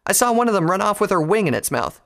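Man voicing a brash, high-pitched pegasus pony girl. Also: huge pitch swings, fast, fairly bright tone, heavily acted. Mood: angry. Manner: boastful, heated. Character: brash, defiant young female pegasus pony fighter. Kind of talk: dramatised fan fiction reading.

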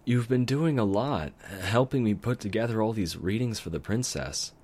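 A man voicing an eager, bookish young unicorn mare in a fan story reading, in a raised, bright, kind voice. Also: lowish voice, animated, normal speed, pitched above the performer's own voice. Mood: warm.

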